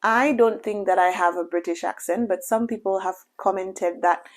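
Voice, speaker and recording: A woman from Lucia, a doctor giving measured, reassuring career advice in a casual vlog, often saying "you know.". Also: medium pitch, fairly even intonation.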